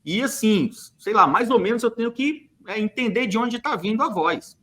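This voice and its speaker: A man's voice: high-pitched, animated, normal speed, sharp tone. A man from Brazil speaking on a church podcast, making an emphatic, argumentative case with rhetorical questions and hesitant fillers. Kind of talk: opinionated podcast monologue.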